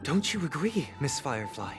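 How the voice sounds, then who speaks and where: A man playing a serene, pessimistic video game villain who speaks in a measured, formal, solemn way. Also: fairly high pitch, very animated. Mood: calm persuasion. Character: serene, pessimistic male villain preaching escape into dreams. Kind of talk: video game voice acting, oratorical monologue. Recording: music behind the voice. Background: music.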